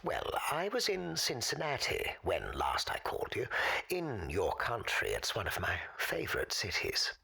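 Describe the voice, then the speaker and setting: A woman playing a wistful, erudite male caller in a radio drama, speaking formally and with measured eloquence in a husky, breathy voice. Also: rumbling low, wildly swooping pitch, pushed and bright, in a whisper, full character voice. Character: forgotten, wistful, erudite man calling a radio show. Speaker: recurring caller on a radio show. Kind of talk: audio drama monologue, storytelling.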